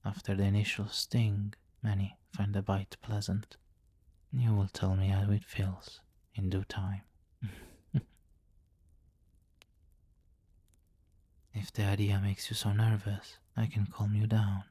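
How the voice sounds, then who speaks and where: Man voicing a low, hushed, possessive vampire king. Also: completely flat delivery, slightly rough. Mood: soothing, teasing. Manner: formal, deliberate, domineering, often dropping to a whisper. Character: possessive, seductive, commanding male vampire king. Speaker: audio roleplay performer. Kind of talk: slow intimate ASMR roleplay.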